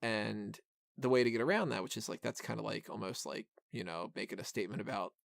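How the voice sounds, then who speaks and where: A man's voice: lowish voice, quick pace, gravelly, no room sound, studio quality. A man hosting a film review podcast, talking casually and giving opinions in a rambling, self-interrupting way full of "like".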